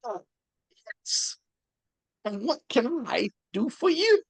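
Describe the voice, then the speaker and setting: A woman's voice: on the high side, big pitch swings, no room sound, heavily acted. A woman voicing a drowsy, pompous old male sage who speaks in riddles, read live over a video call. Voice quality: creaky, drawn-out delivery. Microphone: home mic on a video call.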